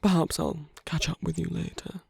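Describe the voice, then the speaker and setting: A man acts out a suave villain flirting at a masquerade in a romantic audio roleplay. He is husky and close, with very little room sound. His manner is soft and teasing, slipping toward a whisper. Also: on the high side, steady tone, normal speed, no room sound, exaggerated voice. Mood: teasing, flirtatious.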